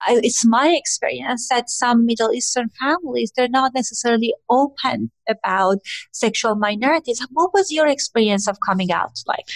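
A woman's voice: a little high, normal speed, no room sound, poor audio. A woman from Iran hosting a conversational interview in an affirming, validating manner. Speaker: host.

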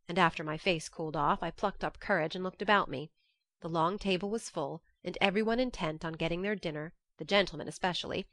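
Low-pitched woman narrating a classic story. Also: fairly bright tone, no echo. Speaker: audiobook narrator. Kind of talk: expressive scripted literary narration in first person.

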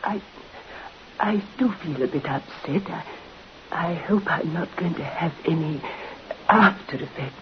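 An actress playing a gentle, ailing, dutiful daughter in a vintage radio drama, subdued and halting, on a muffled recording. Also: female voice, low voice, noisy background. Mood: anxious, frail. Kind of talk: 1950s radio acting.

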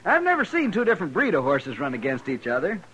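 A man's voice: a little high, wildly swooping pitch, fast talker, a bit bright, slight background noise, rough recording. An actor playing an eager, fussy American newspaperman in a 1950s western radio drama, polite and chatty. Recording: old radio recording with crowd behind.

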